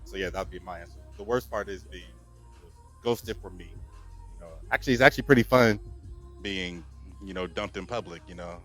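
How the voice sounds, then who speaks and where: A male podcast guest with a lowish voice. He chats casually and circles back over his phrases, with faint music behind him. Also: a little fast. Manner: repeats himself, frequent "you know". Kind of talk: casual chat.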